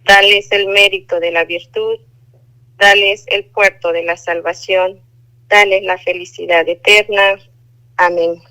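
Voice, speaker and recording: Slow, devout woman on phone. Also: mid-range voice, fairly even intonation, pushed and bright, low-quality recording. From Guatemala. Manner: measured, devout, with hesitant fillers. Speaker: caller giving a religious testimony. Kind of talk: testimony and recited prayer. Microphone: phone line.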